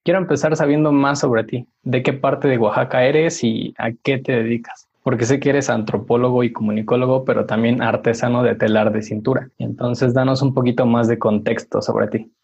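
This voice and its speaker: Husky man hosting, dull lo-fi sound. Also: mid-range voice, fairly even intonation, normal speed, few pauses, darkish tone. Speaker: podcast host. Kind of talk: conversational interviewing. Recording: dull, lo-fi audio.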